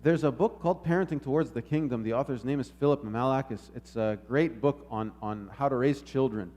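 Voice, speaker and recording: A man's voice: mid-range voice, warm tone. A man delivering an explanatory church sermon, thinking aloud and often repeating words as he goes. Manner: halting, reflective, repeats words while explaining. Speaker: preacher. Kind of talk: sermon, explanatory and semi-extemporaneous.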